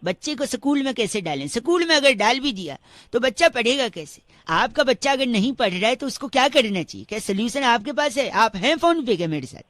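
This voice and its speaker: Radio comedy prank caller, extremely high voice, dry sound, nagging, pedantic, mock-earnest, persistent rambler. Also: male voice, sing-song, fairly quick, fairly bright tone, no echo, full character voice. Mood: pestering, earnest. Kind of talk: comedy prank phone call.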